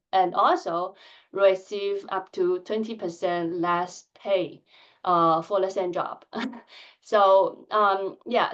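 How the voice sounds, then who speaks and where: A woman in her thirties who stutters, giving a candid conference talk with blocks and filled pauses. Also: fairly low pitch, lo-fi sound. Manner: soft-spoken, candid, measured. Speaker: conference speaker. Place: conference hall.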